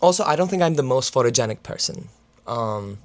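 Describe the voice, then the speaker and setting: A young man in his twenties, a student, chatting casually to camera in a rambling vlog, full of fillers, his voice raspy right now. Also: medium pitch, lively intonation, a little fast. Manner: filler-heavy, talks with his hands. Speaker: student vlogger.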